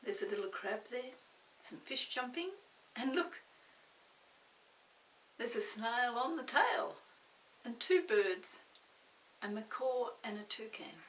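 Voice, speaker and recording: An older woman tells a picture-book story to young children at a very slow pace. She pauses to describe and question as she goes. The recording is echoey and a little distant from the mic, with faint hiss behind her. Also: fairly high pitch, animated, a bit bright. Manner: unhurried, pausing to describe pictures and ask questions.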